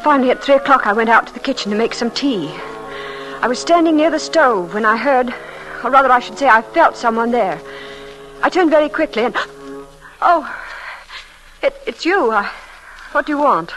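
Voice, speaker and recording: A woman's voice: fairly high pitch, animated, normal speed, a bit bright, soft background music, poor audio. An actress playing a tense, frightened young woman in an old-time radio thriller, hesitant, breathless and dramatic. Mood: frightened recollection.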